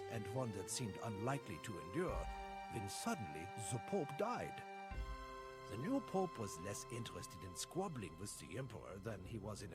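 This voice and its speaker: An actor playing a grave storyteller who narrates medieval history for a game, in a theatrical, measured delivery. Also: male voice, medium pitch. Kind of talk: scripted dramatic storytelling narration. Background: music.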